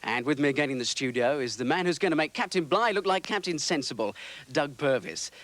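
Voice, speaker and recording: A man's voice: on the high side, animated, fairly quick, fairly bright tone, no room sound. An actor playing a jokey, teasing local radio DJ presenting his show. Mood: joking. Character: breezy male local radio DJ, quick-witted. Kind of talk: 1980 TV drama acting.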